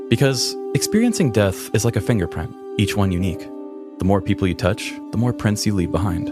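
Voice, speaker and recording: A man co-hosting a podcast, speaking in the style of a storyteller and casual talker. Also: sits low. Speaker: podcast co-host. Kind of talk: scripted true-crime storytelling and casual co-host chat.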